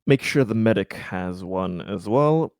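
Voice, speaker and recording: A man's voice: lowish voice, animated, measured pace, a little mellow, no room sound, clean studio sound. A man chatting casually as a player in a tabletop roleplaying game.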